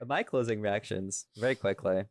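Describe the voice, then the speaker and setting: A man's voice: fairly low pitch, moderate pace, dry recording, studio quality. A man hosting a casual behind-the-scenes podcast chat in a rambling, informal way. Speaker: podcast host and audio creator.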